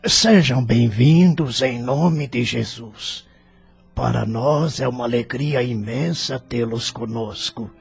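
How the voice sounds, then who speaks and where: A man with a Brazilian accent playing a welcoming, gracious spirit mentor in an audio drama, with a husky, gravelly voice. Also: medium pitch, takes it slowly.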